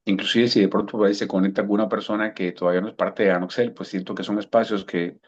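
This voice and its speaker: Man in his fifties, Cuban accent softened by years in Colombia, low voice, reflective storyteller who restarts phrases, lo-fi call audio. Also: flat delivery, quick pace, slightly rough. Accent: Cuban Spanish softened by years in Colombia. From Cuba. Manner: thoughtful, repeats words while searching for them. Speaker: guest coach. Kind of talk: relaxed storytelling interview answer.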